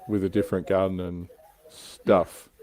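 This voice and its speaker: A man's voice: sits low, level tone, a little slow, darkish tone. A man chatting casually as a panelist on a hobby livestream.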